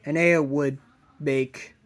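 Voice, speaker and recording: A man narrating a fan-made plot idea in a halting way, in short broken phrases. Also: on the high side, steady tone.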